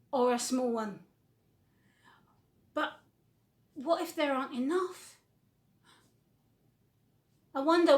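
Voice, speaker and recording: A woman presenting to children at a church, asking simple questions in a child-friendly way. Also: high-pitched. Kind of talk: gentle questioning talk to children.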